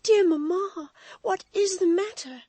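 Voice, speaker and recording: A reader voicing a frightened young woman, high and pleading. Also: unhurried, dark tone, no echo. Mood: anxious. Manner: pleading, tearful. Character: frightened tender young woman, anxious daughter. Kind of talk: audiobook reading.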